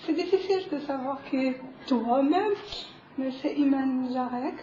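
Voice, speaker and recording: A young woman from Morocco introducing herself hesitantly to a group, with many pauses and fillers, in an echoey room. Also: high voice. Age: young adult. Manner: hesitant, many fillers and restarts, soft laughs. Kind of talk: halting self-introduction to a group. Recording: echoey room, a bit dull, faint hiss.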